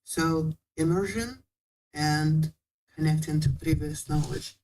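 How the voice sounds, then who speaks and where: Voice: mid-range voice, takes it slowly, a little mellow, poor audio. A guest patiently explaining how to learn a language in a casual interview, with small non-native grammar slips.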